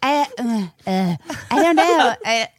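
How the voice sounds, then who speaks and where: A woman co-hosting a podcast, chatting casually with quick laughing asides. Her pitch swoops up and down with lively energy. The sound is close and dry. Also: no room sound. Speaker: podcast co-host. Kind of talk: casual chat.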